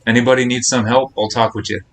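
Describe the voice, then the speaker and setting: A man's voice: medium pitch, little pitch movement, fast talker, fairly bright tone. A man livestreaming a game, talking casually and bluntly as he hands out advice to his chat. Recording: game music faintly behind the voice. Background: game audio and music.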